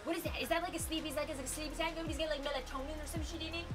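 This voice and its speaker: A woman in her twenties, live-streaming from a gaming room with a desk mic, bubbly and excitable, laughing often. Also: high voice, very fast. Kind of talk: casual live-stream chat. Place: gaming room lit with colored lights. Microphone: desk mic with headset. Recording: music under the voice. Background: background music.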